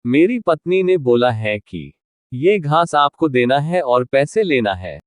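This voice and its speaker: A man's voice: medium pitch, big pitch swings, slow pace, no echo, clean studio sound. A man acting village men in a Hindi cartoon moral story. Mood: stubborn, simple. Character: gullible forgetful village priest and scheming greedy merchant.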